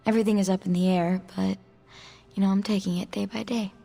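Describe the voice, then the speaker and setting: Actress as young mutant outcast, gravelly edge. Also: female voice, medium pitch, fairly even intonation, quick pace, music in the background, close to an everyday voice. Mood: reflective. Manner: casual, candid, hesitant. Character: young female mutant, guarded, earnest outcast. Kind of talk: video game dialogue acting.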